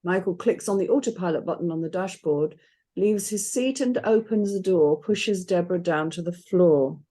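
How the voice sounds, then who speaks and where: A woman's voice: fairly low pitch, normal speed, warm tone. A woman reading out stage directions as scripted narration.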